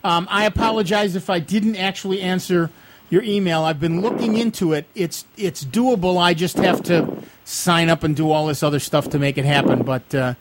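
Higher-voiced joking older radio host. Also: male voice, moderate pace, slight background noise, rough recording. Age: 60s. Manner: joking, self-deprecating. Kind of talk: casual radio chat. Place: radio studio.

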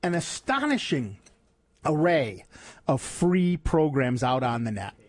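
Easygoing male radio host, slightly high. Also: slow. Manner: relaxed, rambling with fillers. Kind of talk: casual call-in radio chat. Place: radio studio. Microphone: studio mic with headphones.

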